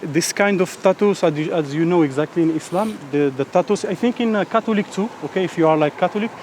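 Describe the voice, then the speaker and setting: A man's voice: sits high, fast, darkish tone, a little hiss or hum. A man from Morocco with an Amazigh accent, guiding a tour and explaining local customs, often checking in with "okay?". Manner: frequent "like", "exactly" and "okay?" checks. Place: outdoors in a village.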